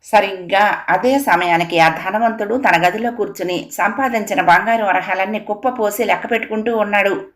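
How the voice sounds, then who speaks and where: A woman's voice: medium pitch, moderate pace. A woman, narrating a scripted children's moral story as a storyteller.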